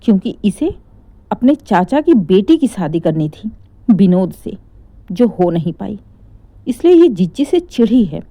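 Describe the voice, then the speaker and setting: A woman's voice: medium pitch, dark tone, dry recording. A woman voicing a stern, sarcastic middle-aged mother-in-law in a story, scolding with pointed rhetorical questions.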